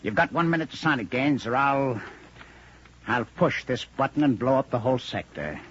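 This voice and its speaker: A man's voice: mid-range voice, animated, normal speed, fairly bright tone, husky. An actor playing an insecure, blustering male rebel engineer in a 1950s radio drama, threatening and defensive. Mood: menacing. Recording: dull, noisy 1950 radio broadcast.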